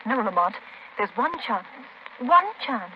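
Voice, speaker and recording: A woman's voice: medium pitch, very animated, unhurried. An actress playing a brave but anxious woman adventurer, earnest and melodramatic in 1930s radio acting style. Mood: urgent, pleading. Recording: muffled, noisy 1930s radio broadcast.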